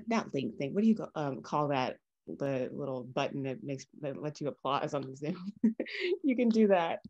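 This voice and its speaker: Low-voiced woman hosting informally. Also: darkish tone, lo-fi sound. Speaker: MC, creative writing teacher. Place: small room at home, indoors. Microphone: video call.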